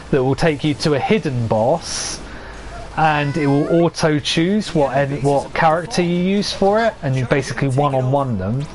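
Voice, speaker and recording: A young man chats to his stream while he plays, rambling from one thought to the next and laughing at his own jokes. His voice sits a touch high and is starting to go hoarse. A faint background hiss runs under the home streaming mic. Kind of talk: casual gameplay commentary to chat.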